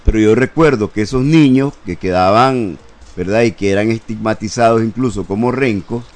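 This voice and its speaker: Older man, from Nicaragua, low-pitched, reminiscing, fillers. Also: moderate pace. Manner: reminiscing, many fillers and "¿verdad?". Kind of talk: radio panel discussion.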